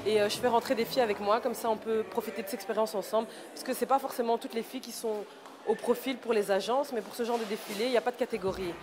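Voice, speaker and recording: A woman reporting live from a fashion show, presenting in a quick, upbeat way. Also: fairly high pitch, fairly even intonation, background noise. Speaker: event reporter. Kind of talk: on-location TV report. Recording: loud music behind.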